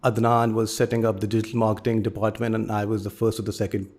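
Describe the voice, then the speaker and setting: A man in his thirties from Lahore with a Pakistani accent, a company co-founder answering interview questions in a measured, businesslike way. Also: on the low side, flat delivery, darkish tone. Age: 30s. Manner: measured, businesslike, with halting restarts.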